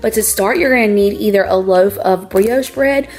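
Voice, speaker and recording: Young woman, hoarse from a cold, chatty and upbeat, home-kitchen vlogger, soft music bed. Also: medium pitch, normal speed. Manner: chatty, bubbly, peppered with "like". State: scratchy voice from a cold. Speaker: cooking vlogger. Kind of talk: casual cooking tutorial.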